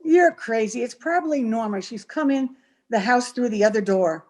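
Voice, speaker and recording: Woman acting domineering, scornful woman, higher-pitched. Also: expressive, normal speed. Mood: wary, dismissive. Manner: bossy, sharp-tongued, impatient. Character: domineering, scornful, hard-headed scheming woman. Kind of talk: 1930s radio horror acting.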